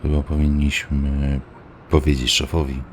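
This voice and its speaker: A man acts a henchman guard in a comedy dub. His voice is exceptionally deep, and he talks in a hesitant, understated deadpan. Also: measured pace, slight background noise. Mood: sheepish. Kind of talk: comedic dubbed dialogue.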